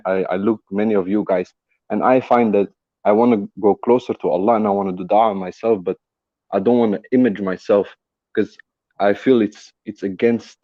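A man's voice: on the low side, no room sound, dull audio. A man from Belgium, calling in with a question and hesitating often as he searches for words. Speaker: caller.

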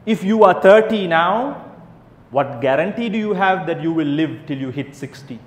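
Male preacher, fairly high voice, rhetorical and repetitive, big reverberant hall. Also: animated, moderate pace. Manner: rhetorical questions, emphatic repetition. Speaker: Islamic preacher. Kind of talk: sermon to a live crowd. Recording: echoey hall with long reverb.

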